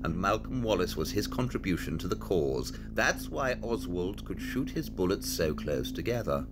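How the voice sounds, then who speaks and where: Male actor as brilliant detective, assured and exacting. Low, animated delivery, formal audio-drama exposition.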